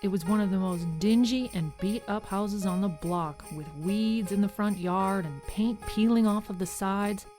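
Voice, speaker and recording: Measured woman storyteller over music. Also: mid-range voice, a little mellow. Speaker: narrator. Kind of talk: scripted storytelling for children.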